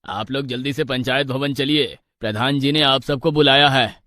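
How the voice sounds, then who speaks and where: A man's voice: medium pitch, fairly even intonation, normal speed, pushed and bright, no room sound, natural voice. A man playing a village headman's messenger clerk with a matter-of-fact manner. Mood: brisk.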